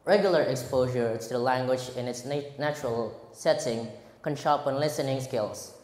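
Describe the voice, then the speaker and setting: A teenage boy from Indonesia with an Indonesian accent, giving a prepared speech at a school contest, earnest and halting, often restarting words. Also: mid-range voice, a little mellow. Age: teens. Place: large echoey hall. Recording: roomy hall with long reverb.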